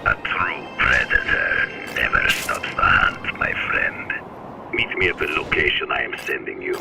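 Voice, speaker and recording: An actor playing a sinister male hunter speaking over a radio in a low whisper. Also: huge pitch swings, a little slow, gravelly, distant mic, music in the background, exaggerated voice.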